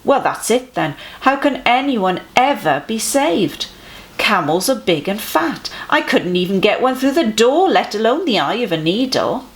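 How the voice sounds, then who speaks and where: A woman's voice: on the high side, very animated, unhurried, voice pushed up. A woman voicing a baffled, exasperated member of a crowd in a children's storybook. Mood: incredulous. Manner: exasperated, incredulous.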